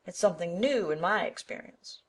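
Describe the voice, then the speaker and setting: A woman's voice: fairly low pitch, lively intonation, brisk, husky. Woman putting on a blunt, bossy older village woman. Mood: huffy. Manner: emphatic, indignant and preachy.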